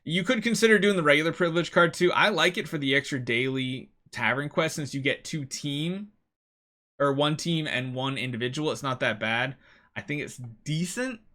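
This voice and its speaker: Bright-voiced man giving gaming advice. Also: mid-range voice. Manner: emphatic, repeats key words. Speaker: gaming video host. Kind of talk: casual gameplay advice commentary.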